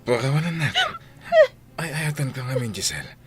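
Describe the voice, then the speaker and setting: An actor with an Ilocano Filipino accent playing the lovestruck young master of a house in a radio drama. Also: male voice, mid-range voice, sing-song, moderate pace, heavy background noise, natural voice. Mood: pleading, remorseful.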